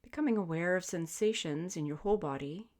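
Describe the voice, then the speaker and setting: A woman guiding a sleep meditation as a yoga teacher, speaking in gentle, soothing, measured invitations. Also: lowish voice. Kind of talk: guided sleep meditation, spoken instruction.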